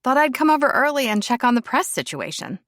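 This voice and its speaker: A woman's voice: high voice, big pitch swings, quick pace, fairly bright tone, dry recording, voice pushed up. A woman voicing a worried young woman pressing her close friend with earnest questions. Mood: brisk.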